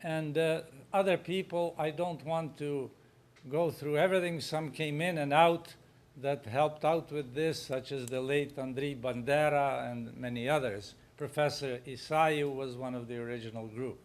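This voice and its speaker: Slow, loud-voiced man addressing a conference. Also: fairly high pitch, fairly even intonation. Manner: unhurried, loud and projecting. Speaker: conference speaker. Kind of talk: public talk at a conference. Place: conference hall.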